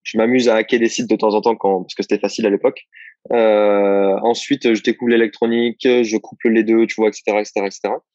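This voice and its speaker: A man's voice: on the low side, flat delivery, fast, darkish tone, no echo, lo-fi sound. A young man from southern France, answering interview questions about his work as a copywriter in a loose, rambling way, full of fillers and restarts. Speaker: guest, copywriter. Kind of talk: casual interview chat.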